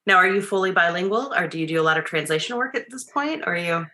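A woman editor hosts an interview with a bright, forward voice pitched a little low. She sounds eager and encouraging, and laughs easily as she asks questions. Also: quick pace. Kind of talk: interview conversation.

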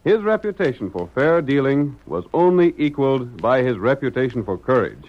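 A man's voice: medium pitch, sing-song, moderate pace. A man with an American accent narrating a vintage radio western in a dramatic, declamatory announcer style. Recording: muffled vintage radio recording.

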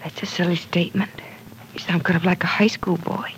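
An actress playing an earnest, gentle young woman caught up in love troubles in an old radio drama, soft and breathy at times. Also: lowish voice, flat delivery, a little fast, whispered, lightly acted, low-quality recording. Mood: pleading. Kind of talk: 1940s radio acting.

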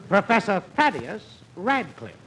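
A very animated high-voiced schemer. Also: male voice, a little slow, full character voice. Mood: boastful, persuasive. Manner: sly, hesitating, confiding salesmanship. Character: Dave, breezy smooth-talking schemer with crackpot money plans. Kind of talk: old-time radio comedy acting. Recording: faintly noisy old radio recording.

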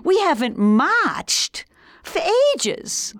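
A woman in her sixties with a Boston accent, speaking as an interview guest in an emphatic, animated way with frequent laughs. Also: high-pitched, very slow, no room sound, studio quality. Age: 60s. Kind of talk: energetic conversational interview.

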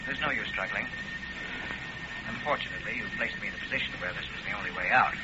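An actor playing a polite, coolly murderous doctor obsessed with research, speaking with genteel precision in an old radio drama. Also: male voice, lowish voice, fast, lightly acted. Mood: coolly sinister. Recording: muffled, noisy old radio recording.

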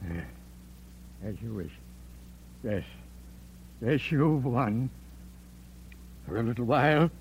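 An actor playing a cunning, defiant old villain who feigns feebleness, in theatrical 1950s radio drama style. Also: male voice, mid-range voice, lively intonation, slow pace, pauses often. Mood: cynical, defiant. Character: cunning, defiant old male villain, frail but unrepentant. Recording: noisy, humming vintage radio recording.

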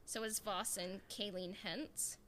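A woman podcast host in relaxed, informal conversation, with a medium-pitched voice. She moves between reading listener comments and chatting about them. There is some noise behind her. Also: slow pace.

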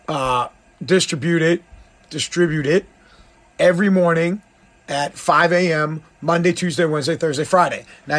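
A middle-aged man with a hoarse, worn-out voice, rambling bluntly as he hosts his podcast. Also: on the high side, a bit bright. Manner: rambling, blunt, self-deprecating. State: hoarse, lost voice. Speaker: podcast host. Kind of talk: casual solo podcast talk.

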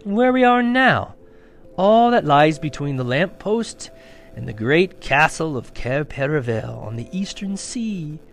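A man voicing a polite, fussy male faun who is shy and excitable, formal and flustered, repeating himself. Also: fairly high pitch, unhurried, a little hiss or hum. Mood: warm, inviting.

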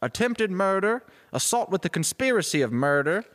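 A man voicing a stern mummy judge, proclaiming loudly in a drawn-out, raised pitch. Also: big pitch swings. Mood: stern, commanding. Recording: reverberant room.

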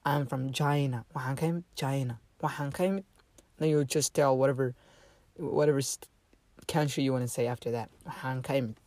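A man teaching a casual online language lesson, halting with many fillers and restarts and repeating each phrase slowly for learners. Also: medium pitch, steady tone, a little mellow, no echo.

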